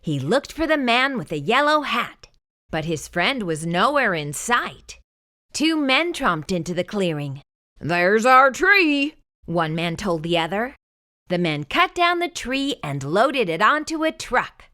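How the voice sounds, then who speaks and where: A woman hosting a children's storytime, reading a picture book aloud in an expressive, sing-song way. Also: mid-range voice, a little slow.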